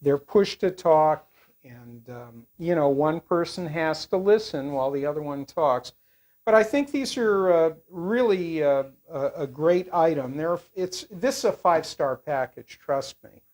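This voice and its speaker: An older man giving a casual product review in a rambling, halting way. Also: fairly high pitch, lively intonation, darkish tone.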